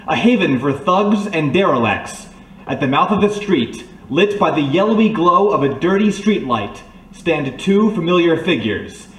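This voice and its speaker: Male announcer, high voice, scripted narration, old radio serial style, animated, distant roomy recording. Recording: distant echoey room.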